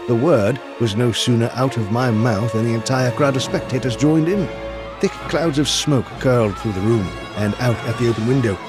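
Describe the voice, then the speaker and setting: An actor playing a loyal gentleman companion who tells the story in an audio drama, measured and earnest. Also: mid-range voice, over music, natural voice. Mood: measured narration. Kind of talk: scripted audio drama narration and dialogue.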